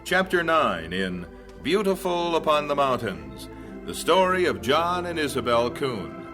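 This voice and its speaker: Formal, animated American radio announcer man. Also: a little high, a little slow, over music.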